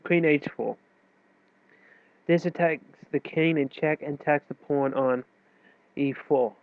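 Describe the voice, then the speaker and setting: Male chess tutor with a head cold, nasal and a bit high, relaxed explaining manner, dry phone-like sound. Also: takes it slowly, no room sound. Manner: loose, self-correcting explanation with fillers. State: stuffy with a cold. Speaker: chess teacher. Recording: tinny, dry phone-like recording.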